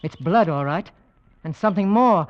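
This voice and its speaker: High-voiced brisk skeptical gentleman. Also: animated. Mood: keen, excited. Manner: crisp, urbane, wry. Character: brisk, skeptical gentleman husband and skilled tracker. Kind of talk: old-time radio drama acting. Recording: dull vintage radio recording.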